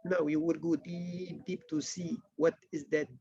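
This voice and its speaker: A middle-aged man from Malaysia, giving an academic writing workshop lecture in earnest, halting English with many restarts. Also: a little high, little pitch movement, creaky, warm tone, muffled recording. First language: not English.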